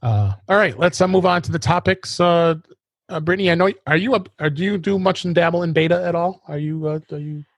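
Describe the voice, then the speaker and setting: A man hosting a casual tech podcast, halting and often restarting his phrases. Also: a little high, no echo, studio quality. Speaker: podcast host.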